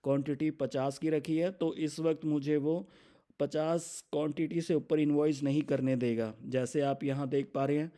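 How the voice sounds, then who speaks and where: An Indian man presenting a software demo, narrating step by step in an instructional way and mixing in English terms. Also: a little high, fairly even intonation, normal speed.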